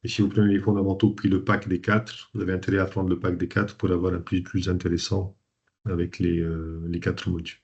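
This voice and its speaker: Male coach from Lebanon, lowish voice, hesitant presenter style, muffled call audio. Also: level tone, a little fast, a little mellow. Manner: hesitant, many fillers and restarts. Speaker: coach and trainer hosting a webinar. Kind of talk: informal presentation to an online group. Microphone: video call. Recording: dull lo-fi video call audio.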